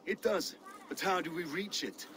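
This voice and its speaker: An actor playing a fiery, brooding Danish Viking warrior in a video game cutscene. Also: male voice, high voice, moderate pace, fairly bright tone, a little hiss or hum. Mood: doubtful.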